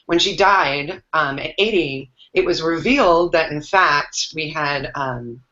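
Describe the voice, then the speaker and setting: Low-voiced woman in an echoey room. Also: takes it slowly, sharp tone. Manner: rambling, filler-heavy, reflective. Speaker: panel guest. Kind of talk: casual self-introduction on a video call. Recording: echoey room, dull lo-fi sound.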